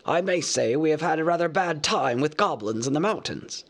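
A man reading a storybook aloud, voicing an old male wizard who speaks formally and with measured composure. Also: fairly high pitch, expressive, a bit bright, pitched above the performer's own voice. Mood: courteous.

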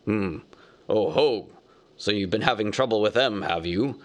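A man voicing a huge gruff bearded giant of a man. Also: lowish voice, a little slow, pitched below the performer's own voice, lightly acted. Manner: gruff, growling.

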